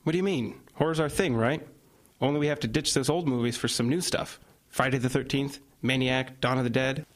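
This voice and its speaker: An actor playing an easygoing older brother with casual, offhand talk. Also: male voice, mid-range voice, a little fast, natural voice.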